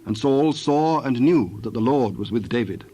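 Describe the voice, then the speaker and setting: A man narrating a dramatized Bible reading in a measured, formal storytelling style. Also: mid-range voice, lively intonation, mellow, dull audio, a little hiss or hum.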